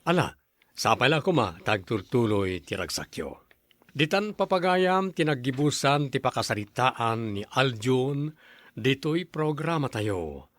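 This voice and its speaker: A man hosting a radio drama program, delivering scripted announcements in a measured, formal way. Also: mid-range voice, big pitch swings, fairly bright tone, no room sound.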